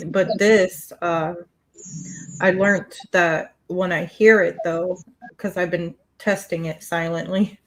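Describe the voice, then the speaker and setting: A woman's voice: lowish voice, fairly even intonation, slow, poor audio. A woman panelist in a casual chat, hesitant and searching for words.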